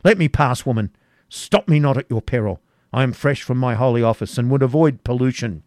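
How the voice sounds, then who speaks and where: A man's voice: fairly low pitch, expressive, moderate pace, mellow, no echo, studio quality, lightly acted. A man reading the part of a proud Saxon nobleman in disguise, speaking with impatient force.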